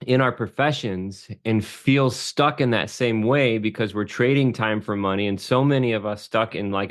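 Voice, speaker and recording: A man hosting a conversational podcast interview, reflective and often restarting his phrases as he thinks. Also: lowish voice. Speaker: podcast host.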